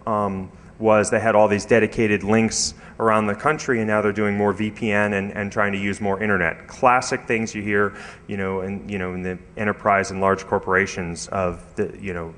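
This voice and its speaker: A man giving an informal technical conference talk with slides, speaking haltingly with frequent hesitations and repeated words. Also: fairly low pitch, flat delivery, fairly bright tone. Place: large indoor conference hall. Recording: echoey hall with long reverb.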